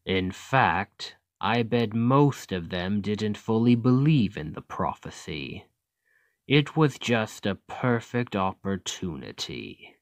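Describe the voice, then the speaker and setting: A man playing a smug, calculating demon king in an ASMR roleplay, talking in a deliberate, condescending and persuasive way to the listener. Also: lowish voice, expressive, no echo. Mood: cynical, explanatory. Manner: slow, deliberate, condescending, taunting. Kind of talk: ASMR roleplay, scripted acting addressed to listener.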